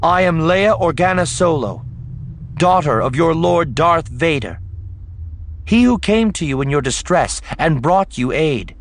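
Man playing a regal woman, pitched up, declaiming. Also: lively intonation, a little slow. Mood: regal, proud. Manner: loud, formal, declamatory. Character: regal, defiant human princess and diplomat.

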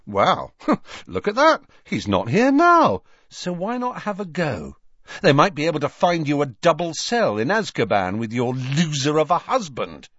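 A bold, mocking teenage boy from a storybook, read by a man. He taunts with open defiance and lively swings of pitch. The sound is dry and slightly dull. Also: normal speed, hardly any pauses, no echo.

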